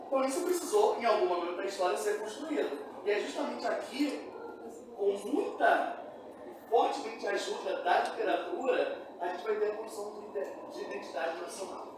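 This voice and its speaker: A man with a Brazilian accent giving a classroom lecture as a teacher, didactic and often prompting listeners with questions. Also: high voice. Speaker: history teacher. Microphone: distant from speaker. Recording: echoey room, distant mic, noisy.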